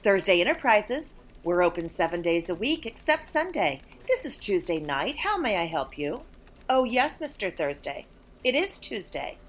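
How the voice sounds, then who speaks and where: A woman's voice: mid-range voice, very animated, normal speed, a little hiss or hum, close to an everyday voice. A woman playing an aloof, blunt saleswoman in a comedic audio play, with a dry, dismissive manner. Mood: brisk, polite. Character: aloof, blunt, sardonic female office saleswoman named Tuesday.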